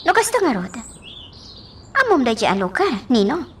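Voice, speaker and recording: A woman's voice: fairly high pitch, very animated, moderate pace, fairly bright tone, husky, exaggerated voice. A woman with an Ilocano Filipino accent playing an inquisitive, insistent young mistress of a mansion in a radio drama.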